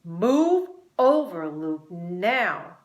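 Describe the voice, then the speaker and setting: A woman voicing a bossy, quick-tempered young boy in a children's story, loud and emphatic. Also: on the low side, very animated, heavily acted. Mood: angry. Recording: roomy, echoing sound.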